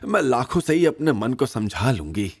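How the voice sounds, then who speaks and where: A man voicing a greedy, slyly coy middle-aged mother with a husky voice in an audio drama. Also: mid-range voice, sing-song, moderate pace, full character voice.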